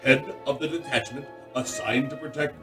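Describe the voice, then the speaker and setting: A man playing a dramatic announcer of a children's space adventure radio serial, with a grand, drawn-out theatrical delivery. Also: mid-range voice, steady tone, natural voice. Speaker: announcer and narrator. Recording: roomy, distant, loud music underneath.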